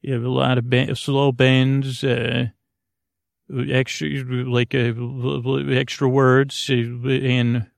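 Man, gravelly creak, flat intonation, rambling bedtime podcast host, hesitant, broken-off words, informal. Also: medium pitch, slow, no room sound, studio quality. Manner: meandering, hesitant, with word fragments and sidetracks.

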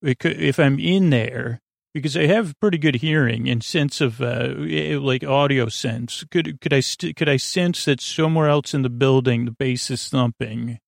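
A man hosting a sleep podcast in a goofy, meandering monologue, halting and trailing off mid-thought. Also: medium pitch, gravelly, no room sound, clean studio sound. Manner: goofy, whimsical. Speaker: podcast host.

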